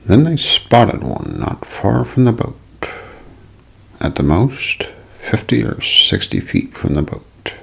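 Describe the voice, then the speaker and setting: Low husky guy doing slow asmr mystery narration, super crackly, kinda whispery and dramatic. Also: huge pitch swings, fairly bright tone. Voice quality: gravelly, husky, breaking into whisper.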